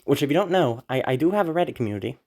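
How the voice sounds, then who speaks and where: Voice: mid-range voice, lively intonation, rapid-fire, a little mellow. Nervous creator rambling casually, apologetic, bit sweary, sounds sick w a tired sore throat. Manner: anxious, apologetic, hesitant. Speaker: audio content creator. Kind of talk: casual rambling update to listeners.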